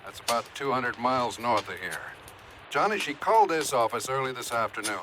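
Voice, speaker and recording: Flustered company contact man, middle pitch, very lively inflection, vintage radio drama. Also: brisk. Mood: businesslike. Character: fussy, exasperated male insurance office contact man. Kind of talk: 1950s radio drama acting. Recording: noisy old radio recording.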